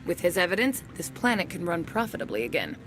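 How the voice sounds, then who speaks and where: A woman playing an undercover internal affairs agent in a video game, brisk and matter-of-fact with a dryly sardonic edge. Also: lowish voice, very animated, music in the background. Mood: businesslike. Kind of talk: video game dialogue acting.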